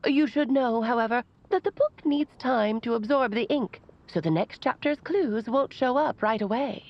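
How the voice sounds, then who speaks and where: A woman's voice: a little high, normal speed, highs cut off. An actress voicing a playful, eccentric woman mage who speaks in a theatrical, sing-song way through a recorded message. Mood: teasing.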